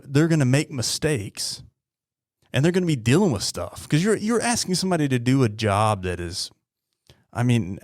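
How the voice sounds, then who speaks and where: Blunt man, halting, close dry sound. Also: medium pitch, darkish tone, dry recording. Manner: halting, repeats words, blunt. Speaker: podcast host, police officer. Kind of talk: heartfelt interview talk.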